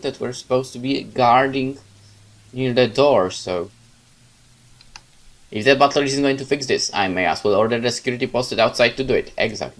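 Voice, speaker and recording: Man expressively narrating a visual novel. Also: medium pitch. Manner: expressive reading with casual asides. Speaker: let's player reading a game aloud. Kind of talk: dramatic first-person narration of a visual novel.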